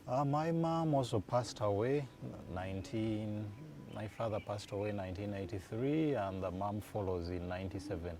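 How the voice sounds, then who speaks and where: A Ugandan man in his forties, answering interview questions in an unhurried, thoughtful way as he recalls dates and places. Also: on the low side, warm tone. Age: 40s. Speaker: guest. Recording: noisy room ambience.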